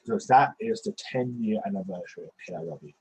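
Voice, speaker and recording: Husky young man on headset. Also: fairly low pitch, quick pace, a little mellow, poor audio. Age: 20s. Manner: rambling, many restarts and fillers. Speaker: podcast host. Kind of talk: casual video-call chat. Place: small bedroom. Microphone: headset on video call.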